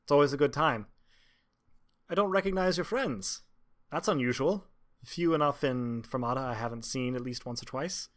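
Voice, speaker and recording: A man's voice: medium pitch, normal speed, pitched above the performer's own voice, lightly acted. A man voicing a cheerful, gracious female tavern singer with a breezy, folksy manner in a tabletop game. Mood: welcoming.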